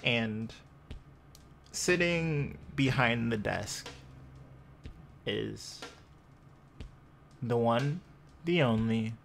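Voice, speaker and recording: Man, game master, unhurried suspenseful narration, mid-range voice, tabletop roleplay. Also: mid-range voice, animated, creaky, a bit bright, slight background noise.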